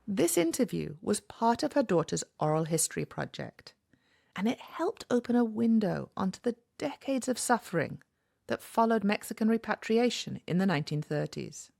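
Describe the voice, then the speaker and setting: A woman delivering scripted documentary narration in a measured, expressive way. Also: mid-range voice, gravelly, no echo, clean studio sound.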